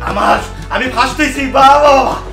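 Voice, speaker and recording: A man with a Bangladeshi accent playing a boastful, eccentric scientist uncle in a comic cartoon, excitedly repeating his words. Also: very high-pitched, brisk. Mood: gloating. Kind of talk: comic children's cartoon acting. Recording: echoey, distant voice with faint music.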